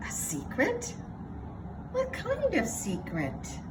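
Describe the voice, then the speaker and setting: Woman voicing a pleading little boy raccoon, high-pitched. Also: wildly swooping pitch, slow pace, very noisy, heavily acted. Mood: curious. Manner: pleading, childlike. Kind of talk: children's storybook character voice.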